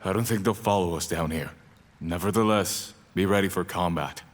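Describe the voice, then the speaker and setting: A man's voice: low-pitched, normal speed. A man playing a cocky, playful young vampire alchemist in an audio drama, bossy and streetwise in manner. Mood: tense, guarded.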